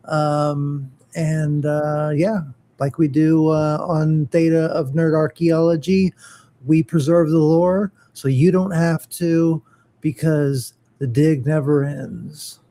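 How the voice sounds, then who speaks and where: A man's voice: fairly high pitch, warm tone. A man co-hosting a casual talk show, hesitant with frequent fillers. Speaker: co-host. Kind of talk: casual chat.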